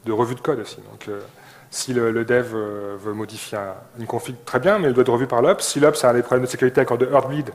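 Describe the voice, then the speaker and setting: Male conference speaker, medium pitch, brisk pace, colloquial with hesitant repetitions. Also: steady tone. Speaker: conference speaker, tech company employee. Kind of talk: informal co-presented tech talk. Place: live talk before an audience.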